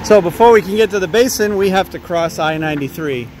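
A man's voice: high-pitched, fast talker, darkish tone, soft background music. A man in his forties narrating a casual travel vlog outdoors on a trail, explaining things easygoingly with fillers and restarts, recorded on a handheld camera. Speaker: travel vlogger. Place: outdoors by a forest trail and parking lot. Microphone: camera on a handheld gimbal.

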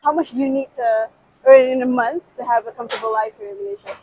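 A woman interviewing people on a city street, asking brisk, short questions while holding a handheld mic toward them. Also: high-pitched, lively intonation, darkish tone, breathy edge, no room sound, rough recording. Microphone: holding a handheld mic toward others.

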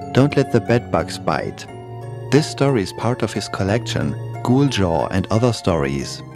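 A man reading a scripted narration as the narrator of a horror podcast. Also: on the low side.

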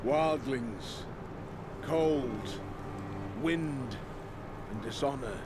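A stern older commander speaks in grave, oratorical style in a fantasy game. His delivery is slow and deliberate, with clear ambient noise behind him. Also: mid-range voice. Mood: solemn, stern. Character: stern old lord commander addressing his sworn brothers. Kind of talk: solemn scripted speech in a fantasy game.

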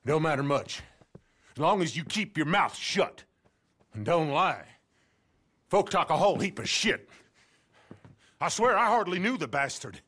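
A jumpy fugitive in a western game, husky and slow-spoken. His pitch swings widely as he defends himself. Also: a bit bright, full character voice.

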